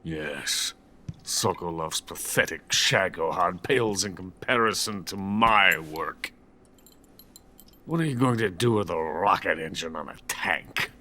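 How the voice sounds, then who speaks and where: A bitter, boastful drunken old scientist. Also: male voice, low voice, animated, a little slow, sharp tone, heavily acted. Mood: bitter resentment. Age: older. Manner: grandiose, self-pitying, theatrical rambling. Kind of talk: dramatic video game voice acting.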